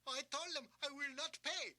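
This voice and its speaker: An actor playing a frightened but proud Chinese restaurant owner, speaking halting English in a 1980s audio drama. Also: male voice, extremely high pitch, pushed and bright, full character voice. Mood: anxious, grateful.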